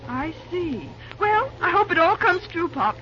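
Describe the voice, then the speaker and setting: An actress playing an outspoken, sharp-tongued young woman in a 1930s radio drama. The recording is a dull old radio transcription with faint hiss. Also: extremely high pitch, very animated, measured pace, full character voice. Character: spirited young woman, quick to distrust.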